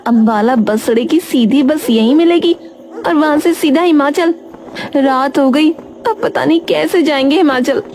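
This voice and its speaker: A woman as a high-voiced grumpy big sister. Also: a little slow, darkish tone. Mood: worried grumbling. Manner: sardonic, complaining, colloquial. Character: grumpy, sarcastic, weary elder sister.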